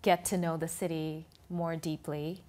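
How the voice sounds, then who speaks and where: A woman's voice: low-pitched, little pitch movement, measured pace, a little mellow. A woman guest on a TV talk show, explaining her views in a thoughtful way with frequent restarts. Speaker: food and travel blogger, guest. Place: TV studio.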